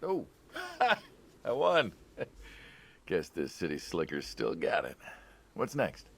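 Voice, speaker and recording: Deep, gravelly American city-slicker mobster. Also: male voice, huge pitch swings, slow pace, full character voice. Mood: gloating. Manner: wry, conversational, inquisitive. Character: teasing city-slicker mobster, male friend, chatty, probing. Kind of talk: naturalistic game acting.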